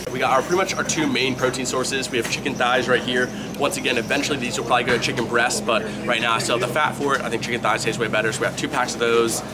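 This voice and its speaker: A man's voice: mid-range voice, fairly even intonation, heavy background noise. A congested young man with a nasal voice, chatting casually as he walks through a grocery store holding his camera. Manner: chatty, quick, rambling asides. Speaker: fitness vlogger. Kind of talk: casual vlog walkthrough. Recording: loud background music.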